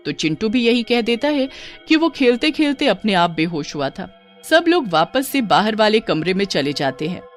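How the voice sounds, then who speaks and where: A woman's voice: medium pitch, sing-song, normal speed, faint music behind. A woman narrating a scripted children's horror story.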